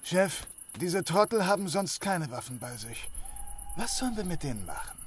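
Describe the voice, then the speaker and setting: A man's voice: high voice, very animated, normal speed, a little hiss or hum. An actor playing a menacing, sneering looter henchman in an audio drama, issuing threats. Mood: gruff, ordering.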